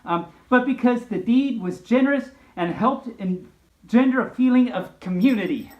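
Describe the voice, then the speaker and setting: A woman reading a storybook aloud with expressive, mock-earnest asides. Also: a little high, moderate pace, reverberant, dull audio. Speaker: storyteller, host of a story time series.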